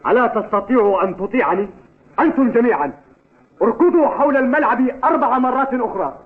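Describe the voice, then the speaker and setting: A man's voice: very high-pitched, lively intonation, fast. A man playing a harsh, domineering football coach in a cartoon dub, bossy and scornful as he gives orders. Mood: scornful, commanding. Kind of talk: Arabic cartoon dub acting. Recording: tinny, phone-like old TV dub.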